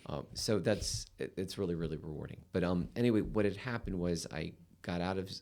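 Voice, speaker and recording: A man's voice: sits low, brisk, a little mellow. A man in his fifties, a real estate attorney, telling his story in a rambling, casual way with lots of fillers. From United States. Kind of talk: casual interview chat.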